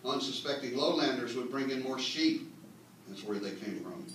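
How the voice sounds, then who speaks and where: A man's voice: medium pitch, animated, moderate pace, a bit bright. Man giving comic talk in very echoey room. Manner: folksy asides, mock-earnest explaining. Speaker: speaker reading a humorous mock history at a clan meeting. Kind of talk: tongue-in-cheek comic lecture read to an audience. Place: indoor meeting room with live audience. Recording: very echoey room, distant mic, faint noise.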